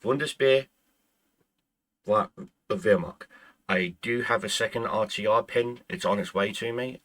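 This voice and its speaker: Gaming host, male, native Irish speaker, husky low voice, rambling and halting, voice wearing out. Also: sharp tone. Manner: rambling, with frequent stumbles and restarts. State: losing his voice. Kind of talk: casual chat to viewers.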